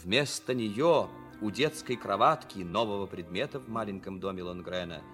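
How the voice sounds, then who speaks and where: A man narrating a literary story for radio in an expressive storytelling style. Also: on the low side, normal speed. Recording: music behind the narration.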